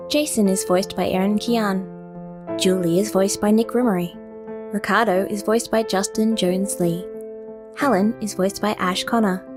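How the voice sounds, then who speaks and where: A woman reading scripted end credits and appeals as a podcast announcer. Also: medium pitch. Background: music.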